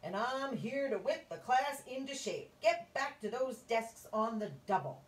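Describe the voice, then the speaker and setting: A woman's voice: a little high, expressive, voice pushed up. Viola Swamp, a woman doing a scratchy stern teacher. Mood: bossy. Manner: loud, drawn-out and bossy. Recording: roomy, distant, faint hum.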